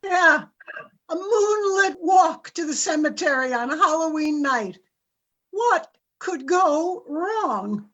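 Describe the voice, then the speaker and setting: A woman's voice: very high-pitched, expressive, takes it slowly, fairly bright tone, muffled recording, exaggerated voice. A woman playing a doubtful, sardonic middle-aged wife and mother in an amateur radio play, reluctant and complaining. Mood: wry reluctance.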